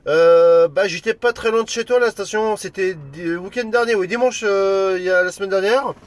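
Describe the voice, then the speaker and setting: A man's voice: sits high, moderate pace. A man from France working as an amateur radio operator, making radio calls and chatting in a friendly, informal, hesitant way. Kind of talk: radio calls and casual chat.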